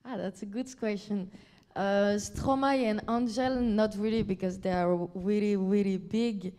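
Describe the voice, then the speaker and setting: A woman from Belgium whose first language is French, speaking English with a French accent. She is a guest singer answering audience questions at a live Q&A, and her English is halting and searching, with many fillers. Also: medium pitch, steady tone, warm tone.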